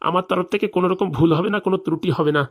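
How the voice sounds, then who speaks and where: A woman's voice: fairly low pitch, very fast, muffled recording, close to an everyday voice. A woman voicing a greedy, boastful, crooked male village doctor in a comic cartoon drama, with a brash colloquial manner. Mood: reassuring.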